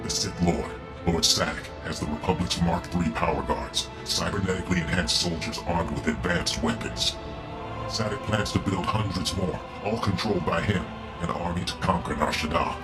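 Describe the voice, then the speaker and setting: Very deep, grim cyborg captive. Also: male voice, moderate pace, loud music behind the voice, poor audio. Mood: grim, weary. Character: tormented cyborg agent turned against his will, grim, fatalistic. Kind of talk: video game voice acting.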